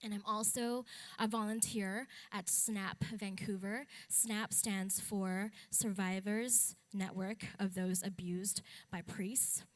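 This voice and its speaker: A woman's voice: medium pitch, steady tone, slow. A young adult woman from the Philippines giving a conference talk as a speaker and advocate, candid and matter-of-fact with wry humor. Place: stage at a talk event.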